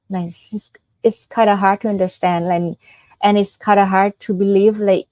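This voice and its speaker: A woman's voice: on the low side, dark tone, no room sound, poor audio. A woman from Thailand with a Thai accent giving an informal dharma talk as a Buddhist nun, explaining step by step in a conversational way with check-in questions.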